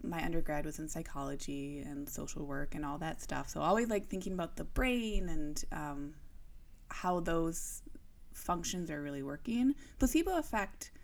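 A woman's voice: deep voice, animated, normal speed, creaky. A young adult woman co-hosting a podcast, explaining ideas in a casual, chatty way with lots of fillers and restarts. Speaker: podcast co-host. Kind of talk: casual chat.

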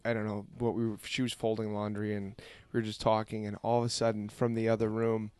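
A man's voice: fairly low pitch, completely flat delivery, fast talker, a little mellow, clean tone, no room sound. A man who is a guest telling a family story in casual chat, laughing as he talks. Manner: storytelling, laughs while talking. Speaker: guest, business owner.

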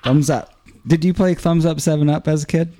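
A man's voice: on the high side, steady tone, a little fast, warm tone. A man hosting an improv comedy podcast, teasing his guests in playful banter. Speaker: podcast host.

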